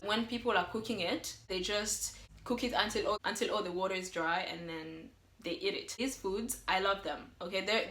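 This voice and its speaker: A young woman from the western Congo talks straight to camera in a chatty, upbeat vlog. Her voice is bright and forward, and the room around her rings with echo. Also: medium pitch. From western Democratic Republic of the Congo. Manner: chatty and upbeat, encouraging viewers. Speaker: vlogger. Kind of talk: casual talk to camera. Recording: echoey room.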